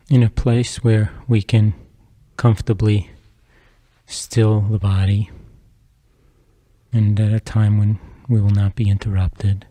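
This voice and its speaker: Very mellow, slow-paced male meditation guide. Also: lowish voice, dry recording. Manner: unhurried, measured phrases with long pauses.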